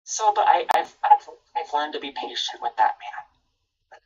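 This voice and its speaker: A woman's voice: sits low, lively intonation, measured pace, darkish tone, husky, recorded from a distance, dull audio. A woman from Minnesota with a Minnesotan accent chatting casually, repeating and stammering as she talks. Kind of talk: casual chat.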